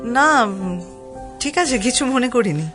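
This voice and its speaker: Actress doing a sharp tongued young woman, high lively voice, curt n sardonic, guarded, music behind her. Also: takes it slowly. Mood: reserved, dry. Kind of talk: audio drama acting.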